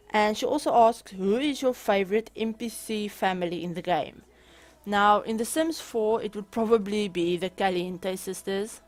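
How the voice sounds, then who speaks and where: A young woman with a South African accent, chatting through viewer questions in a casual gaming vlog, with frequent restarts and small laughs. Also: mid-range voice. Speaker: gaming YouTuber. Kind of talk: casual Q&A over a speed build.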